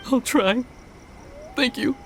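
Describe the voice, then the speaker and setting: A man playing a dejected, resigned young man in a video game, with downcast, halting delivery. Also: very high-pitched, a bit bright, slight background noise, exaggerated voice. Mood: crestfallen. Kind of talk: English video game dub acting.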